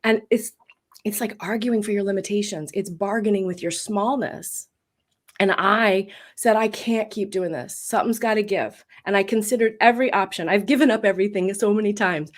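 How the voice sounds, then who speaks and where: A midlife woman with a big voice, telling her story as a voice coach in a casual interview, lively and laughing often. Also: medium pitch, moderate pace. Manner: expressive storyteller with bursts of laughter. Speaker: voice coach and guest.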